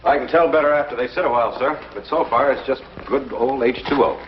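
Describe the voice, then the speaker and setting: A man's voice: medium pitch, fast, lightly acted. An actor playing a respectful, plainspoken male military commander in an old television drama, giving clipped answers. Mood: matter-of-fact. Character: matter-of-fact, deferential male military commander. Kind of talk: mid-century American television drama acting. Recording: dull, noisy vintage TV soundtrack.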